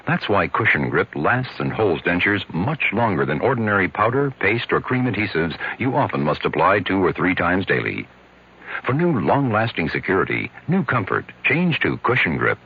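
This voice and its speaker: Commercial voice, man: very low, husky, persuasive and authoritative, slightly dull vintage radio sound. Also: sing-song, moderate pace. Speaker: commercial announcer. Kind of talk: scripted radio advertisement. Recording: dull old radio recording.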